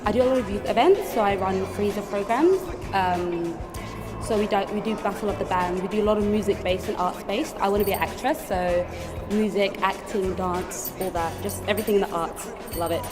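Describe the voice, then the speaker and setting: A woman's voice: mid-range voice, a little fast. Teen girl gushing about arts stuff, mid voice, music under. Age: teens. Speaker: university student. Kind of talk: talking-head interview.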